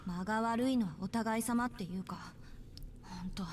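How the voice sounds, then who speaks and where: A woman's voice: mid-range voice, fast talker, darkish tone, far from the mic, a little hiss or hum, lightly acted. An actress playing a blunt, proud, irritable teenage schoolgirl in a Japanese visual novel. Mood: hostile, grumbling.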